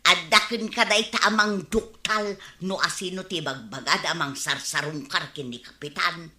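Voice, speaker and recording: An actress playing a teasing village gossip who relishes scandal in an Ilocano comedy radio drama, insinuating, with an Ilocano accent. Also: female voice, lowish voice, moderate pace, sharp tone, roomy sound.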